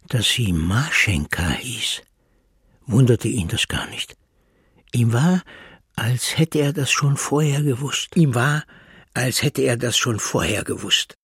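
A man's voice: medium pitch, breathy edge, no room sound. A man reading scripted literary prose as a narrator.

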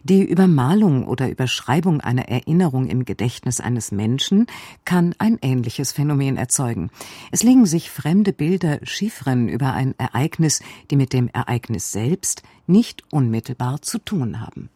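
Male radio presenter, dark warm tone, slightly rough, measured scripted delivery, dry studio. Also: medium pitch, very animated, no room sound. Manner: measured, explanatory. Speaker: radio presenter introducing a play. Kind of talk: scripted introduction. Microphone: close studio microphone.

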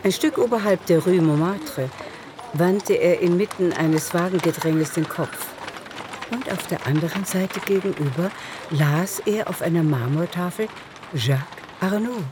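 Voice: medium pitch, unhurried, noisy background. A narrator reading scripted literary prose in a radio drama.

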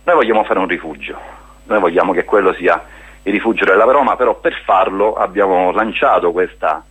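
A man's voice: sits low, fairly quick, fairly bright tone, husky, poor audio. A man speaking as a guest in a radio interview, explaining a project in an earnest way. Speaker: guest, spokesman of a hiking association.

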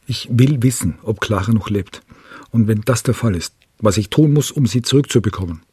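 A man's voice: on the low side, animated, moderate pace, darkish tone, breathy edge. An actor playing a desperate, obsessive father in a radio crime drama, speaking in an insistent, pressing way. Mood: desperate, pleading. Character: desperate, obsessive father searching for his kidnapped daughter.

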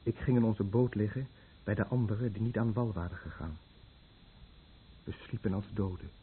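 An actor playing an anguished, guilt-ridden fisherman scarred by fallout, in a Dutch radio play with grave, measured delivery. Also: on the low side, lightly acted. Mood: weary recounting. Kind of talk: Dutch radio play acting, dramatic narration. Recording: muffled, narrow-band old radio recording with noise.

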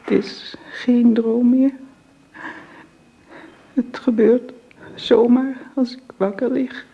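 An actress playing an exhausted, frightened, clinging sick wife in a radio drama, speaking in a halting, pleading, breathy way. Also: female voice, on the high side, steady tone, dull audio.

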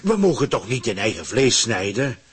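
An autocratic, impatient head of government in a satirical radio play. The voice is slightly husky and swings widely in pitch. He dictates with pompous authority, blustering and hesitating before each imperious order. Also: very animated, moderate pace.

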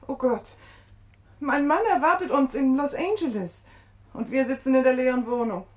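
Radio drama mother: actress as a kindly, anxious woman with a high voice, expressive delivery. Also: moderate pace, close to an everyday voice. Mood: dismayed. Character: worried but kindly young mother of small boy. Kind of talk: German radio drama acting.

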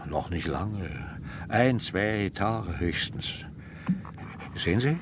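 An actor in a radio drama playing a measured, factual district doctor explaining what he observes. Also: lowish voice, expressive. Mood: clinical, grave. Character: clinical, unhurried district doctor examining remains. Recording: clear outdoor ambient noise behind.

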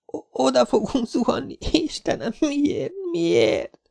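A man's voice: fairly high pitch, gravelly, pitched above the performer's own voice. A man voicing a frightened young woman, counting under his breath and whispering her panicked thoughts in a storybook. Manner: breathless, hushed inner counting.

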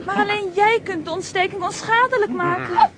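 A woman's voice: very high voice, moderate pace, very noisy. A woman voicing a spirited young girl in a children's radio play, earnest and emotional. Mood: urging.

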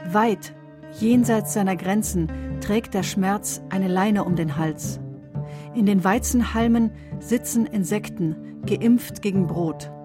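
A woman reciting poetry in a radio drama production, measured and delivered in short deliberate phrases. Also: medium pitch, close to an everyday voice. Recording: music behind the voice. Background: music.

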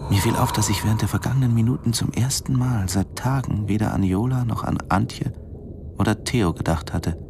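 An actor playing a brooding male diving instructor in a German radio drama, narrating in a measured, introspective way. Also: fairly low pitch, background noise, close to an everyday voice. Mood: absorbed, tense.

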